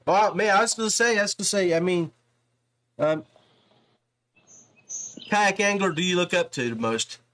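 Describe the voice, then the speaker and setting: A man's voice: high-pitched, moderate pace, long pauses, a bit bright. A man hosting a podcast, interviewing in a casual, folksy, chummy way and often saying man and brother. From West Virginia, United States. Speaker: podcast host interviewing anglers.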